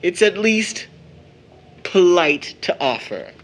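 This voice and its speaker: High-voiced, bantering young brother. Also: male voice, sing-song, slow pace, exaggerated voice. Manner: playful, florid mock formality. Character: bantering, cheerful older brother, mock-grandiose young man. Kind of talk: audio drama acting.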